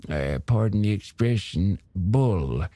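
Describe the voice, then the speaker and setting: A man's voice: fairly low pitch, expressive, slow, warm tone, dry recording. A man voicing a gossipy, folksy male small-town shopkeeper in an audiobook, speaking in a hesitant, stammering way. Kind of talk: audiobook character dialogue.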